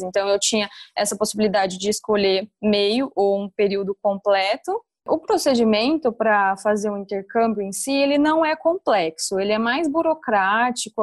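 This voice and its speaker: A woman's voice: medium pitch, dry recording. A young adult woman with a rural interior São Paulo accent, telling her experiences casually as a podcast guest. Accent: rural interior São Paulo Brazilian (caipira). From interior of São Paulo state, Brazil.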